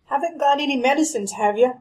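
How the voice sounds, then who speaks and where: An actress playing an anxious, pleading frontier wife in a radio western. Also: female voice, high-pitched, quick pace, darkish tone, a little hiss or hum, natural voice, low-quality recording. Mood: worried, urgent. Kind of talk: old-time radio western acting.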